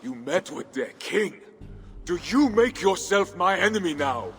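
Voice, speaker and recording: Brief: a fiery Viking leader, lively pitch, gravelly edge. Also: male voice, measured pace, soft background music, full character voice. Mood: angry. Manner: angry, commanding outbursts. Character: hot-tempered Viking chieftain, proud and vengeful. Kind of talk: video game cutscene. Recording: game audio in a reverberant space.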